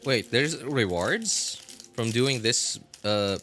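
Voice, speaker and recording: Bright-voiced man chatting on a game stream. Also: medium pitch, expressive, slow, faint music behind. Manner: rambling, thinking aloud. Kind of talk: casual live-stream gameplay chat.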